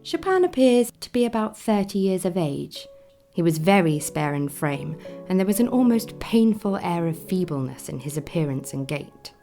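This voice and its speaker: An actress voicing a formal Victorian newspaper critic, reading deliberately in a radio play. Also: female voice, lowish voice, sing-song, dark tone, soft background music. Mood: formal, appraising. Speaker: reader of newspaper notices. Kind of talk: dramatised reading of 19th-century newspaper.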